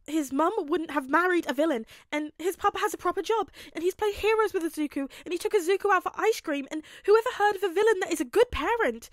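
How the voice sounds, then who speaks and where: Woman acting a frantic, high-pitched teenage boy. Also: animated, fast, few pauses, no room sound, clean studio sound. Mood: frantic denial. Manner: breathless, stammering, pleading outbursts. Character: anguished fourteen-year-old boy, panicked and disbelieving.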